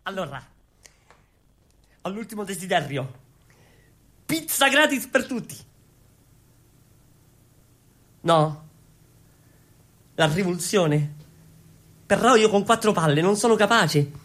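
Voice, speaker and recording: A man's voice: high-pitched, expressive, unhurried, long pauses, a bit bright. A man playing a hapless, earnest, clownish revolutionary in theatrical comic radio storytelling, exclamatory and repetitive. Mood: sheepish, coaxing. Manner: exclamatory, repetitive, pleading with the listeners. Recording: music under the voice.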